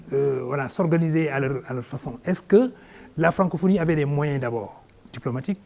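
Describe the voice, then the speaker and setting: A man's voice: fairly high pitch, darkish tone. A man interviewing a guest on television, asking long, winding questions with hesitations and frequent murmured agreement.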